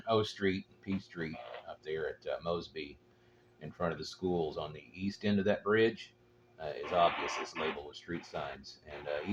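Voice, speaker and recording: A man chatting casually as an amateur radio operator, talking in a matter-of-fact, rambling way. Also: low-pitched. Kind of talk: casual chat over two-way radio. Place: portable, out at a local spot. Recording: dull lo-fi audio with clear noise.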